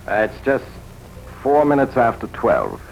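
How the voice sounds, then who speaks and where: A calm American physician, patient and tactful, who hesitates a little as he explains. His mid-pitched male voice moves expressively up and down. The sound is an old 1940s radio drama with faint music. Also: gravelly, heavily acted, poor audio. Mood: tactful, careful. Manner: measured, hesitant with soft "uh"s.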